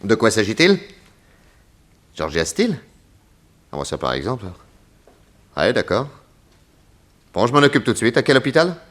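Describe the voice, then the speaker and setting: An actor playing a self-assured, jovial private detective in a French radio drama, inquisitive and wry in a conversational way. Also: male voice, mid-range voice, sing-song, moderate pace, long pauses, a bit bright. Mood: brisk, obliging.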